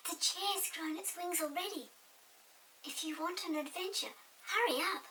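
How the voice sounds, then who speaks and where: A woman voicing a small, lively, chirpy pixie in an excitable storybook reading. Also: very high-pitched, normal speed, pitched above the performer's own voice. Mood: urgent, excited. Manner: emphatic, excitable. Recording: distant mic with hiss.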